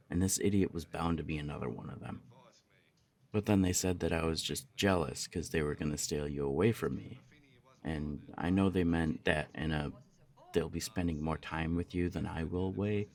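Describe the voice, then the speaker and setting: A man playing a jealous, lovesick young punk roommate in an ASMR roleplay audio drama, speaking in a halting, confessional way with swearing. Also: deep voice. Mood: hesitant, embarrassed.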